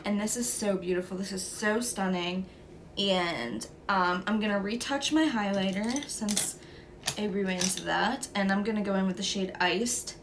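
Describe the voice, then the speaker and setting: A young woman talks through a makeup look at an unhurried pace, her voice stuffy and nasal from a cold. She speaks in a mid-range voice, easy and conversational, with the odd "um" as she goes. A faint background hiss sits under the voice. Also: mid-range voice. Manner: easygoing step-by-step talk with "um" and "like". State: stuffy nose, sniffling from a cold. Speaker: beauty vlogger. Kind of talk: makeup tutorial narration.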